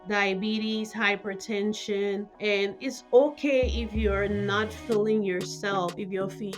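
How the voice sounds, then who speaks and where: A middle-aged woman from Cameroon, talking informally as an interview guest with plenty of conversational filler phrases. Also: medium pitch. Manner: informal, peppers talk with "you know". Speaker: guest, mental health nurse practitioner.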